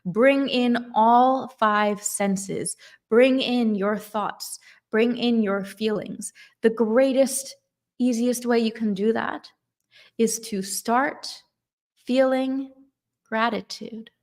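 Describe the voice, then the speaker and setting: A woman giving a slow, emphatic motivational talk on manifestation and gratitude. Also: fairly high pitch, steady tone. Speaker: manifestation coach.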